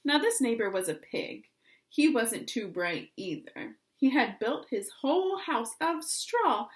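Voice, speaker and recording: A woman's voice: fairly high pitch, sing-song, unhurried. A woman voicing a chatty, folksy storybook wolf who insists he is innocent. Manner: folksy, self-justifying, conversational. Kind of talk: read-aloud picture book storytelling.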